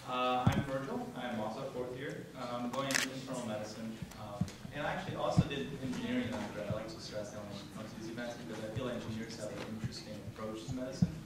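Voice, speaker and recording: A man in his twenties, a medical student on a panel, with a loud, eager voice. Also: mid-range voice, fairly even intonation, rapid-fire, few pauses. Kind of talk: casual panel talk. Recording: echoey, noisy, far from mic.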